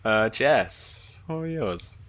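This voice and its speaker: A woman game master chatting casually, relaxed and sweary, dropping approving remarks, with light noise behind her. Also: a bass voice, slow. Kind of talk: casual chat.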